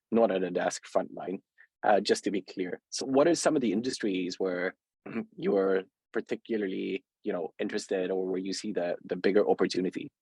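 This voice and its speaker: Man, Swedish accent, very low voice, husky, hesitant webinar host, dry sound. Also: a little mellow, no room sound. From Sweden. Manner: hesitant, many uhs and restarts. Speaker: host, company founder. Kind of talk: webinar interview.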